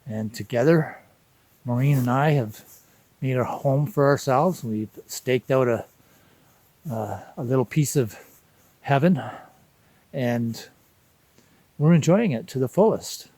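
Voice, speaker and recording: A man, speaking a reflective personal monologue in an unhurried, contemplative way with deliberate pauses. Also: mid-range voice, dark tone, dry recording. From Canada. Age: 60s. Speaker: vlog host.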